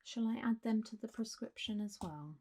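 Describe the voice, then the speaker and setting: A woman doing a soft, half-whispered ASMR roleplay as a gentle, reassuring doctor talking a patient through care, in a close recording with faint hiss. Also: a little high. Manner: gentle, reassuring, unhurried doctor's bedside talk. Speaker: ASMR performer playing a clinic doctor.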